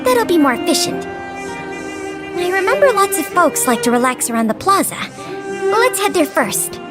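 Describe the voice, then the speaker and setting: A woman's voice: very high-pitched, sing-song, measured pace, exaggerated voice. A woman voicing a polite, earnest young female museum guide and investigator in a video game, speaking courteously and carefully. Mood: determined. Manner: courteous, bookish, careful explaining. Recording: music behind the voice.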